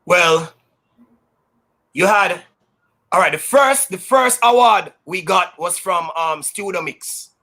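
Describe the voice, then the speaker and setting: A Jamaican man who works as a sound system DJ, telling stories in a loud, high-energy, boastful way that is full of patois. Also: slow, pauses often. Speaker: sound system DJ, interview guest. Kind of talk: casual storytelling interview.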